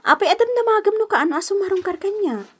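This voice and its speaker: A woman's voice: very high voice, expressive, fast talker. A woman acting a trusting, troubled young girlfriend in a radio drama, with a Filipino accent. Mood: wistful.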